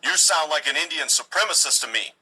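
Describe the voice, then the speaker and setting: Man playing a sharp, husky, sanctimonious settler leader. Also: on the high side, lively intonation, brisk, heavily acted, low-quality recording. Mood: accusing, indignant. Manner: self-righteous, condescending, pleading rhetoric.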